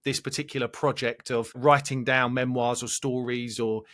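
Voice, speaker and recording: A man's voice: mid-range voice, flat delivery, moderate pace, fairly bright tone. A man hosting a podcast interview, enthusiastic and asking long, rambling questions. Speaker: podcast host.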